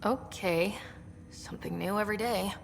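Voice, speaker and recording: A woman's voice: medium pitch, moderate pace, creaky, slight background noise, close to an everyday voice. A woman playing a young, curious space explorer in a video game, talking casually with dry, teasing humour. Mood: wry, curious. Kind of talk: scripted video game dialogue.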